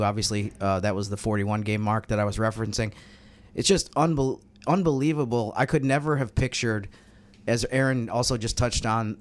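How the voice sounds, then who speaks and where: Man chatting on a sports podcast. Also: mid-range voice. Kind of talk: casual roundtable sports talk.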